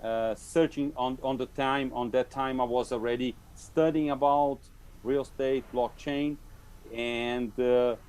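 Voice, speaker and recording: A Brazilian man whose first language is Portuguese speaks over a video call with a Brazilian Portuguese accent. He talks very slowly at a middling pitch. He hesitates often, filling the gaps with "uh". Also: steady tone, darkish tone, smooth. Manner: hesitant, many "uh" fillers. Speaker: guest speaker. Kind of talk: webinar talk.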